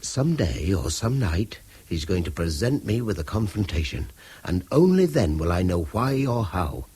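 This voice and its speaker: An actor playing a weary, haunted man in a radio drama, with an English accent and a grave, halting manner. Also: sits low, big pitch swings, darkish tone, husky, exaggerated voice. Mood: haunted, resolute. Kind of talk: 1970s British radio drama acting.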